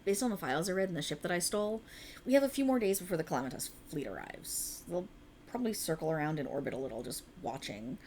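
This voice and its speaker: Woman playing a lively low-voiced alien girl friend. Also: fast talker, a little hiss or hum, lightly acted. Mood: earnest. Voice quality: soft, intimate and lively, with blunt teasing and swearing. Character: young adult alien woman hiding as a human friend, earnest and teasing. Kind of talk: ASMR roleplay.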